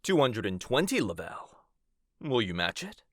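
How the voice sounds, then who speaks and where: A man's voice: mid-range voice, big pitch swings, normal speed, natural voice. A man playing a suave, playful vampire auctioneer in an audio roleplay, with showy, courteous patter. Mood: smooth, challenging.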